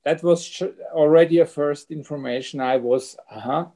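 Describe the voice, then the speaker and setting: A man's voice: a little high, moderate pace, warm tone. A middle-aged man with a German accent, a film director telling a story on a video call. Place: home room with bookshelves. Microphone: laptop webcam mic on video call.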